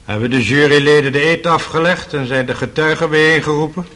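A man's voice: fairly high pitch, brisk, sharp tone. A man acting in a radio drama as a brusque, formal, middle-aged court president with an air of authority. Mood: stern, official. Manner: formal, official.

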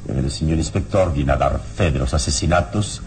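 An actor playing a calm, resolute adventurer hero in an old radio drama, grave, measured and courteous. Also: male voice. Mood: firm. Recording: noisy old radio serial with rumble.

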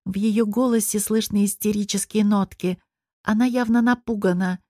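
A woman's voice: mid-range voice, fairly even intonation, normal speed, a little mellow, dry recording. Woman narrating a story, dry close sound. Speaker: audiobook narrator. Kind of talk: expressive first-person fiction narration.

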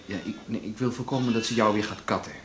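An actor playing a probing, practical and slightly sardonic husband in a radio drama, sometimes halting. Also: male voice, fairly low pitch, fairly even intonation, noisy background, lightly acted. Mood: soothing.

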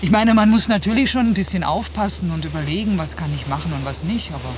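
A woman's voice: fairly low pitch, quick pace, lightly acted. A woman acting an independent, outgoing teacher and traveller in a naturalistic radio drama, chatty and self-assured. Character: independent, outgoing female teacher, seasoned backpacker. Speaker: actor. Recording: hissy old cassette of radio play.

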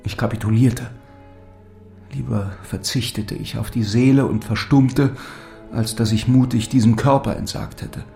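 An actor playing a lovesick, despairing young lord who speaks in earnest, solemn, literary German drama style. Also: male voice, mid-range voice, steady tone, measured pace, gravelly, a little mellow. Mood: melancholy confession. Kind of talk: German audio drama acting. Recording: music under the voice.

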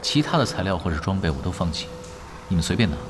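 Brisk, lively, self-assured expert gamer. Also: male voice, low-pitched, over music. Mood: calm, casual. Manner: casual, matter-of-fact. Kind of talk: Chinese audio drama acting.

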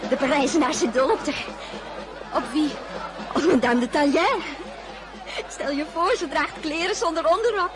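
A woman's voice: sits high, moderate pace, long pauses, a crowd in the background, natural voice, low-quality recording. An actress playing a giddy, gossiping young woman who chatters and giggles in a radio play. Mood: gossipy.

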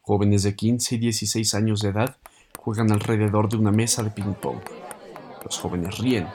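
A man's voice: fairly low pitch, fairly even intonation, normal speed, a crowd in the background. A man with a Mexican accent reading scripted narration for an audio story.

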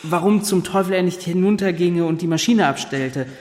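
An earnest young ship's officer, played by a man testifies in a high voice with careful precision. His measured radio-play account rings through a very echoey hall with long reverb. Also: darkish tone, a little hiss or hum, natural voice. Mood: tense recounting.

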